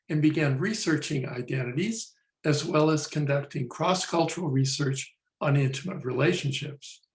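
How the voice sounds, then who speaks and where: A man, a professor, introducing himself steadily on a video call. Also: on the high side. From United States. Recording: echoey room.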